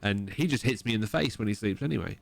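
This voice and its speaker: A man's voice: lowish voice, quick pace, vocal fry. A young man co-hosting a podcast, ribbing his friend with dry, sweary humor. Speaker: podcast co-host. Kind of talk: casual banter.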